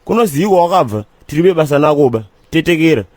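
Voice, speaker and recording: A man voicing a cantankerous, streetwise character who rants and rambles argumentatively in slang in an animated comedy. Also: fairly high pitch, rapid-fire, dry recording, natural voice. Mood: ranting. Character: cantankerous, streetwise man ranting comically about his family.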